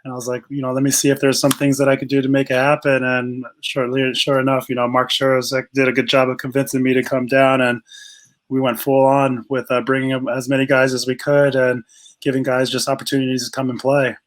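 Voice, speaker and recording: Even-toned man, halting casual interview guest. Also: medium pitch. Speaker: guest, rugby coach and organizer.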